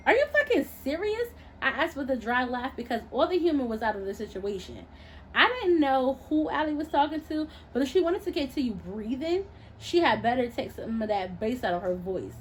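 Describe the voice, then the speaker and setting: A woman reading her own novel aloud as expressive first-person narration. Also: on the high side, brisk, slight background noise.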